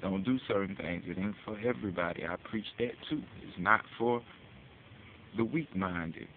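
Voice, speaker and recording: A man's voice: on the low side, normal speed. An American man giving an informal advocacy monologue as a public address, talking in street slang with casual swearing and rhetorical questions. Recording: tinny phone-like audio with hiss.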